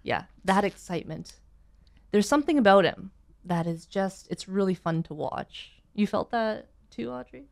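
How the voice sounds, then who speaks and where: Female host, Canadian accent, mid-range pitch, upbeat and conversational, keen on the sport. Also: expressive, normal speed, creaky. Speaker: host of a daily sports show. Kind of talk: casual panel chat.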